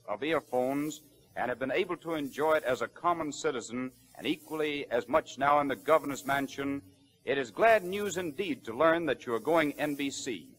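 Male announcer with a fairly high voice, reading messages aloud in 1930s radio style. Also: poor audio.

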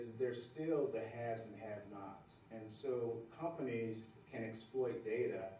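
Middle-aged guy talking at a podium, low mellow voice, big echoey hall, kinda far off and dull. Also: slight background noise. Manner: conversational, repeats words, gestures while speaking. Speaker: keynote speaker. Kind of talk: conference keynote speech. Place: large dark event hall, at a podium. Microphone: podium mic, recorded from afar.

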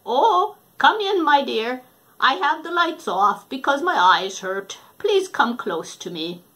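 A cunning storybook wolf with a high, bright, honeyed voice that coaxes and flatters, as a woman puts him on. He sounds falsely friendly, sly and sweet. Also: slow pace, full character voice. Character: sly sweet-talking big bad male wolf.

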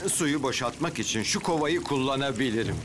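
A man's voice: medium pitch, lively intonation, a little fast, music in the background, natural voice. A man voicing a hapless, flustered handyman in a children's cartoon, talking in hesitant exclamations. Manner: muttering to himself with hesitant exclamations. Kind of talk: animated children's cartoon dub.

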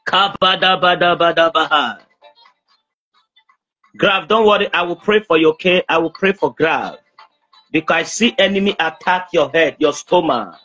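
A young man speaking as a prophet praying over someone on a video call, insistent, halting and repeating himself. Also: on the high side, fairly bright tone, breathy edge, dull audio, faint music behind. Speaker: preacher, self-styled prophet. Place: small indoor room. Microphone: phone at arm's length on video call.

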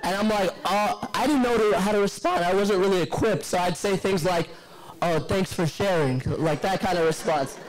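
A hoarse young man in his twenties giving a rambling, joking wedding speech into a handheld mic in a banquet hall. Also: sits high, moderate pace. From Yemeni descent. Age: 20s. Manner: rambling, self-deprecating storytelling with many fillers. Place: large banquet hall, indoors. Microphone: handheld microphone.

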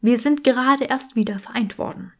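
A woman putting on a flirty, high-voiced skull of a saint. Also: normal speed, lightly acted. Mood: chatty, grand. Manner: trilling, cooing, theatrical. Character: flirtatious chatty talking skull of a long-dead female saint. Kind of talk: audiobook character acting.

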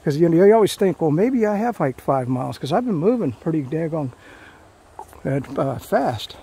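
A man's voice: fairly high pitch, sing-song, normal speed, creaky, mellow, a little hiss or hum. A middle-aged man talks casually while hiking a forest trail, rambling with many fillers and restarts. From Florida. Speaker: hiking vlogger.

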